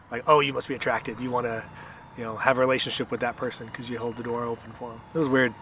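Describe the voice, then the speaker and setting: A man's voice: mid-range voice, flat delivery, fast, sharp tone, distant mic, a little hiss or hum. Wry man from Guatemala, a bit off-mic. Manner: wry, self-deprecating, laughs often. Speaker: guest. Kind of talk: casual interview answers. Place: outdoors at a park playground.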